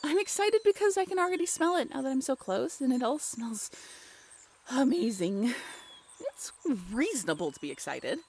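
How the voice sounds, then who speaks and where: Woman acting a high-voiced, playful young girlfriend. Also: slight background noise. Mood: playful, affectionate. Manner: giggly, teasing, halting and expressive. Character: playful, teasing young woman with her werewolf lover. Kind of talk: intimate roleplay audio drama, natural conversational acting.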